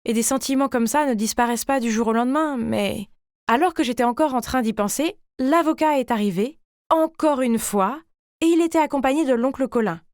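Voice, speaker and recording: A woman narrates a scripted animated story in the first person. Her voice sits fairly high and she delivers it theatrically and expressively, as a teenage girl would tell her own drama. The sound is very dry, with no room around it. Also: brisk, dry recording.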